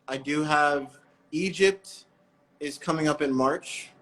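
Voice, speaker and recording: A man hosting a breathwork live stream, chatting in a relaxed, friendly way with callers and often correcting himself mid-sentence. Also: a little high, slow, rough recording.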